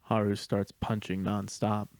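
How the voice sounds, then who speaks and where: A man's voice: on the low side, level tone, measured pace, a little mellow, no room sound, clean studio sound. A man narrating a screenplay, reading the stage directions in a matter-of-fact way. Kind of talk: scripted narration.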